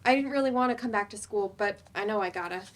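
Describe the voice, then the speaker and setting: A woman's voice: medium pitch, fast, close to an everyday voice. A woman playing a blunt, reluctant adult student, slangy and informal, at a staged play reading. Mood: frank, reluctant.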